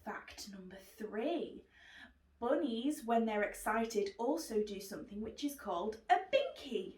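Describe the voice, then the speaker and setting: A woman's voice: on the high side, lively intonation, a little slow, darkish tone, recorded from a distance, a little hiss or hum. A woman hosting a children's book club, talking simply and encouragingly to young viewers. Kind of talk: children's presenter talk. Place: living room indoors. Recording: roomy echo, away from mic.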